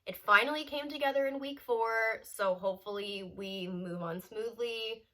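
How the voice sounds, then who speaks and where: A woman's voice: on the high side, moderate pace, sharp tone. A young woman in her twenties, a law student, chatting casually in a vlog update while stuffy and congested from a cold. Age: 20s. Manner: chatty and upbeat, with small laughs and fillers.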